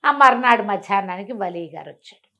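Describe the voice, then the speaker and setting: A woman's voice: mid-range voice, lively intonation, brisk, bright, forceful tone, dull audio. A woman telling a bedtime story informally and conversationally, with explanatory asides and rhetorical questions.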